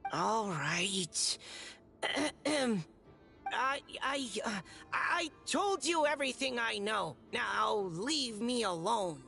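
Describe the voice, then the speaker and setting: A woman's voice: on the high side, expressive, drawn-out words, gravelly, bright, forceful tone, soft background music, heavily acted. A woman voicing a shy, polite young boy knight in a video game, earnest and hesitant in delivery. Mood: nervous. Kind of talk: video game character acting.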